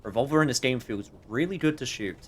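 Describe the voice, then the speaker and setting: A man's voice: mid-range voice, animated, fast, husky. A man doing casual let's-play commentary, playfully reacting and muttering to himself, with some swearing. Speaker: game streamer. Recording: faint background noise.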